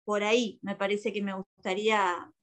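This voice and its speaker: A woman's voice: medium pitch, little pitch movement, normal speed. A woman with a Uruguayan accent, a radio co-host and comedian, chatting casually as the guest in a radio interview.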